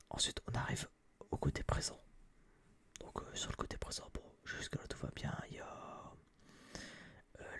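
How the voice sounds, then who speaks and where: Young man, breathy ASMR whisper, creaky edges, close binaural mic, casual rambling delivery, blunt slangy phrasing. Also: fairly low pitch, fairly bright tone. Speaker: ASMR channel host reviewing a film. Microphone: close binaural microphone.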